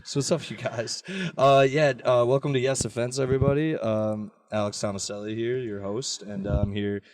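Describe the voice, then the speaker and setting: A man's voice: medium pitch, level tone, normal speed, darkish tone, dry recording. A man hosting a casual comedy podcast from inside a parked car, joking and swearing as he talks over his guests. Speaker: podcast host. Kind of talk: casual chat.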